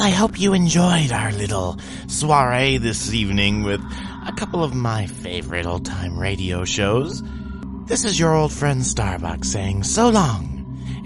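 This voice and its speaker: A man hosting an old-time radio showcase in a spooky horror-host style. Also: medium pitch. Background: music.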